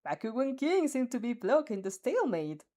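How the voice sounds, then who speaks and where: A woman voicing a loud, excitable male stadium announcer with showy play-by-play calls. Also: on the high side, moderate pace, voice pushed up. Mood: excited.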